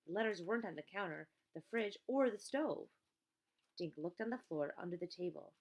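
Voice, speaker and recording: A woman's voice: on the low side, expressive, normal speed. A woman reading a children's story aloud as scripted narration. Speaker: audiobook reader.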